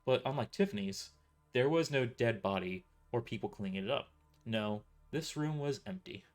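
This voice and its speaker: A man narrates a first-person audio story at a middling pitch. He often trips on words and restarts sentences as he reads. Soft music plays behind him. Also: expressive, moderate pace. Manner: stumbles and restarts sentences while reading. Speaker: author narrating his novel.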